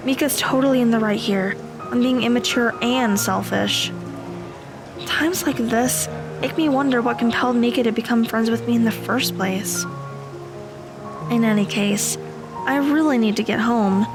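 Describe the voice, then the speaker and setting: A woman playing a troubled, self-critical teenage girl in an audio drama, kind and quiet by nature. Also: a little high, natural voice. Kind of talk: audio drama acting with inner monologue. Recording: music behind the voice.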